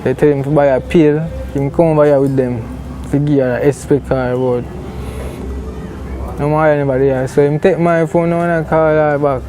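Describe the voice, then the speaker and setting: A man's voice: fairly high pitch, steady tone, moderate pace, mellow, faint music behind, rough recording. A man with a Jamaican accent recounting events casually in Patois, often repeating himself as an interviewee. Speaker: interviewee, relative of a missing person.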